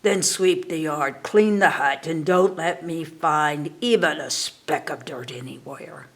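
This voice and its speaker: A woman's voice: low voice, measured pace. A woman reading aloud, voicing a sly, menacing old witch who barks threats and orders. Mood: commanding. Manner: commanding, threatening.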